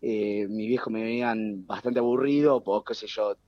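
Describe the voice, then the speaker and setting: A man's voice: on the low side, steady tone, moderate pace, no echo. A young man in his twenties with an Argentine accent, chatting animatedly as a guest over a remote call. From United States. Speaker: guest, TV producer. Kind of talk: casual conversation. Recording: dull, dry remote call line.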